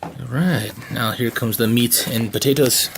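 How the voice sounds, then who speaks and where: A man with a raspy voice casually talking through an unboxing, joking to himself, with plenty of fillers and restarts. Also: medium pitch, measured pace, heavy background noise. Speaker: channel co-host doing an unboxing. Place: home gaming room.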